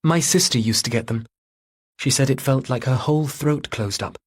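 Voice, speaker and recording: A man's voice: mid-range voice, normal speed, slightly rough, voice pushed up, lightly acted. A man voicing a friendly, reassuring female TV interviewer in an audiobook.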